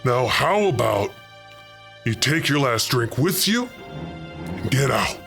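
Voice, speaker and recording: A man voicing a huge, gruff, gray-bearded tavern keeper in a tabletop game, drawling out threats with a gravelly voice. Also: mid-range voice, sing-song, a bit bright, heavily acted. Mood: menacing. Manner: slow, drawn-out, menacing delivery. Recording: music behind the voice.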